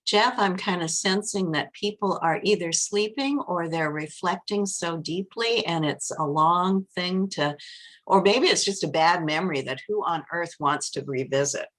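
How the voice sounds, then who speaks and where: A woman giving a relaxed, chatty webinar presentation over a video call. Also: lowish voice, moderate pace.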